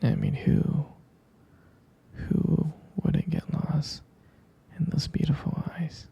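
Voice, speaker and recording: A man plays a doting, affectionate boyfriend in a bedtime ASMR roleplay. His voice is heavily gravelly and very breathy, often sinking into a whisper. He speaks tenderly and hesitantly, as if easing a partner to sleep. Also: fairly high pitch, level tone, dull audio, heavily acted. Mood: tender, adoring. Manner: slow, hesitant, affectionate murmuring with pet names.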